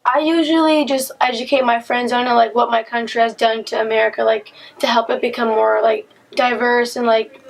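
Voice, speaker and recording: A woman's voice: on the high side, normal speed, gravelly, poor audio. A teenage girl in ninth grade, speaking casually with lots of "like" in a documentary interview. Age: teens. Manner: flat, heavy use of "like". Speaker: high school student interviewee.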